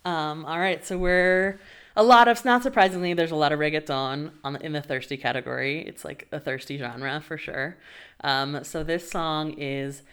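A woman's voice: deep voice, moderate pace, clean tone. A woman hosting a podcast, chatting casually and conversationally with frequent filler phrases. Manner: warm and conversational, frequent "you know". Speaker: podcast host.

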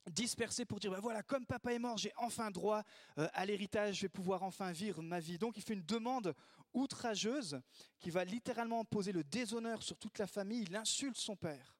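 A man preaching a sermon in a lively way, acting out the voices he quotes. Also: high-pitched, moderate pace, no room sound, clean studio sound. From Italy. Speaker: pastor.

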